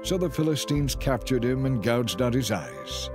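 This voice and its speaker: A man narrating a dramatized Bible story in a measured, scripted storytelling style. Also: mid-range voice, big pitch swings, over music.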